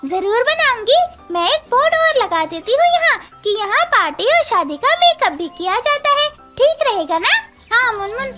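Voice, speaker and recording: A woman voicing a small, assertive female bird who runs a shop in a children's cartoon, speaking emphatically. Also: extremely high pitch.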